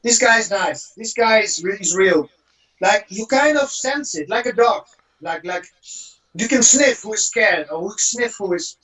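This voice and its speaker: A man's voice: high-pitched, expressive, unhurried, sharp tone. A man from the Netherlands with a Dutch accent, a guest talking in an earnest, motivational way and often repeating words as he thinks. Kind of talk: casual interview chat. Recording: roomy, distant remote audio.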